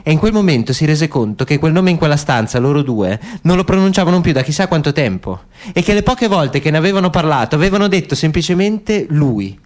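A man's voice: on the high side, sing-song, fairly quick, few pauses, darkish tone. A man giving a dramatic literary reading as a narrator in radio theatre. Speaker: narrator, actor.

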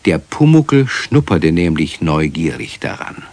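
An actor as the friendly narrator of a children's radio play, telling the tale in a measured, expressive way. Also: male voice, rumbling low, no echo, full character voice. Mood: knowing, friendly. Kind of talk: scripted children's story narration.

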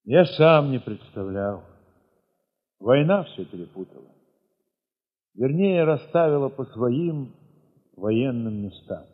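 A husky-voiced man narrates a literary audio drama with reflective, expressive phrasing and deliberate dramatic pauses. The recording is dull, with a long reverb trailing his words. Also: medium pitch. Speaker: narrator of an audio play, reading in the first person. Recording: muffled, long reverberant hall sound.

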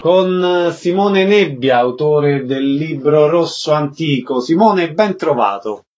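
A man's voice: on the high side, lively intonation, takes it slowly, a little mellow, faint music behind. A man hosting a radio interview with short questions. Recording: slightly dull radio sound.

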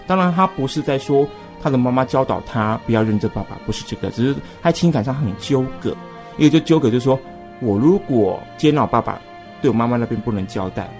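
A man's voice: medium pitch, animated, quick pace, darkish tone, soft background music. A man with a Taiwanese Mandarin accent hosting a conversational radio discussion, talkative and reasoning aloud. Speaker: radio host.

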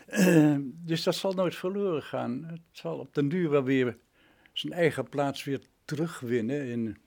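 A man, speaking reflectively and haltingly as an interview guest, often agreeing emphatically. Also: a little high, creaky, darkish tone. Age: nineties, almost a hundred. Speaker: interview guest, retired radio drama director. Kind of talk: reminiscing interview conversation.

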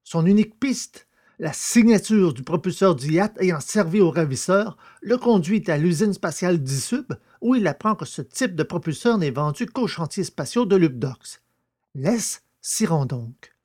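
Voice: medium pitch, animated, measured pace, a little mellow. A narrator reading a scripted episode recap for a French audio drama serial.

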